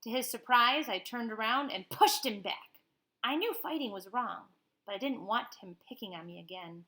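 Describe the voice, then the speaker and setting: Female teacher read-aloud: bright, forward, slightly high voice, expressive and explanatory, speaking straight to kids. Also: normal speed, poor audio. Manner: expressive, explanatory, addresses children directly. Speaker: teacher reading to children. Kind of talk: animated picture-book read-aloud with informal asides.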